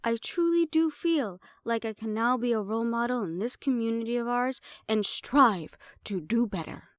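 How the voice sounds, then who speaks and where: A woman's voice: fairly high pitch, normal speed, no echo, studio quality, natural voice. A woman playing a sly, defiant half-banshee siren in an audio roleplay, sarcastic and playful as she mocks the listener. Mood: mock remorse.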